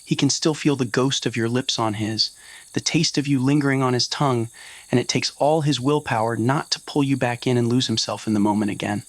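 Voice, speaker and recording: A male narrator with a mid-pitched voice reads a scripted romance. The delivery is that of an ASMR roleplay story, told to the listener. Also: fairly quick. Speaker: narrator of fan fiction audio roleplay.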